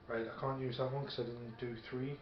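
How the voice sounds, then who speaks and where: A man's voice: medium pitch, little pitch movement, fairly quick. A man chatting casually as he plays a tabletop wargame. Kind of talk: casual game-table chat. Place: indoor room at a gaming table. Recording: dull, echoey room recording with background noise. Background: faint background noise, radio playing.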